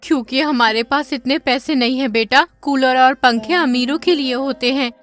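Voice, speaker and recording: A woman voicing a gentle, weary poor mother in a cartoon story. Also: high voice, normal speed, soft background music. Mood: soothing. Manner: soothing, weary.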